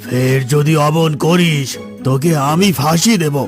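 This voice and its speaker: A man's voice: a little high, unhurried, faint music behind, lightly acted. A man playing a stern, indignant authority figure in a cartoon folk tale. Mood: angry threatening. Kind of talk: cartoon moral-story dialogue.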